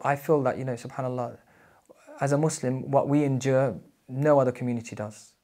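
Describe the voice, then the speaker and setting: A man's voice: medium pitch, steady tone, normal speed, darkish tone. British man with a mid-range voice. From Britain. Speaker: anonymous interviewee. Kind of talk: personal testimony in a documentary.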